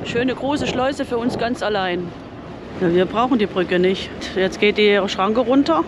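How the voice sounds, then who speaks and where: A woman's voice: mid-range voice, a little slow, noisy background. A woman chatting casually about the lock and the harbor while out on a boat. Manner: chatty, comments with "ne?". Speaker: boat vlogger. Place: outdoors on a boat.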